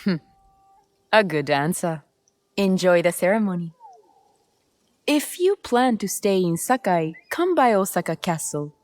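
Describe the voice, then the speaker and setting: A woman's voice: fairly low pitch, lively intonation, unhurried, long pauses, a little mellow. An actress playing a bold, boastful, self-assured noblewoman of feudal Japan in a video game cutscene. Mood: assured, probing. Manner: self-assured, teasing, haughty.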